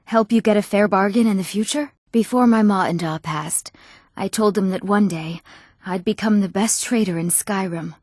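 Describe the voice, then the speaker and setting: A woman's voice: medium pitch, moderate pace, a little mellow, dry recording, natural voice. Actress as eager young fantasy townswoman, close and dry. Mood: hopeful, friendly. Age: young adult. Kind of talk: scripted fantasy video game dialogue.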